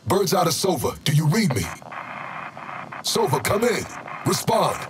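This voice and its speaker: An actor playing a stern, steady tactical operations leader, giving clipped, authoritative orders over a radio in a video game. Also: male voice, sits high, unhurried. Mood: urgent. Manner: clipped, authoritative military delivery. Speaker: mission commander. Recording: noisy military radio with vehicle ambience.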